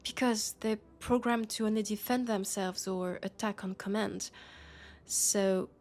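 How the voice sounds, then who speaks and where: A woman acts out a female police colleague in a sci-fi audio roleplay, with a French accent and an unhurried, hesitant delivery. She is worried and exasperated with her roommate, talking softly and intimately over faint music. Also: medium pitch.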